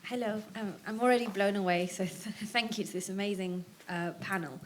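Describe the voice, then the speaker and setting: A woman's voice: medium pitch, a little fast, a little hiss or hum. A woman in the audience of a public seminar, asking a long question with many hesitations.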